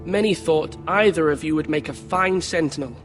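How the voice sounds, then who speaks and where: A high-voiced, earnest young lord, played by a man in a fantasy game drama. He speaks with formal, commanding resolve. Long hall reverb surrounds him, with faint music underneath. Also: moderate pace, natural voice. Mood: measured, resolute. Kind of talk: dramatic video game acting.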